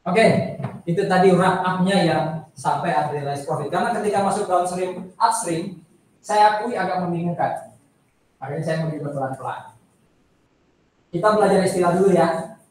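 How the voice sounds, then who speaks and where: In a strongly reverberant room, picked up from a distance, an Indonesian man lectures in a high-pitched voice. He explains informally, circling back over points and putting questions to his listeners. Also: normal speed, pauses often, slight background noise. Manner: conversational, repeats points and poses questions to listeners. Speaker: accounting lecturer. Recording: echoey room, distant microphone.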